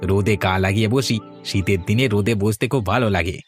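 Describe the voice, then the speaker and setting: Man voicing a calm young engineer. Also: fairly low pitch, brisk, faint music behind, natural voice. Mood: reassuring. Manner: reassuring, explaining. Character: young water plant supervisor nephew, confident.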